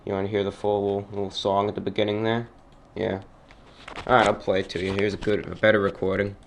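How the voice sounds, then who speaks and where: A man hosting a radio show, explaining things in a casual, halting, conversational way. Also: lowish voice, little pitch movement, a little hiss or hum. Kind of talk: casual informal radio commentary.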